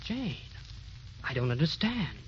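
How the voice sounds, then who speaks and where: An actor playing a meek, devoted young suitor, polite and hesitant, in old-time radio drama style. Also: male voice, fairly high pitch, very animated, unhurried. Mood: bewildered, obliging. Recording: dull, noisy old radio recording.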